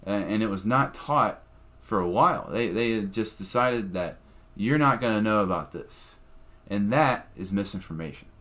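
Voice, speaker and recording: Blunt, profane man with a low voice. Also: animated, normal speed, few pauses, a bit bright. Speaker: comedian guest. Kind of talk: casual podcast chat.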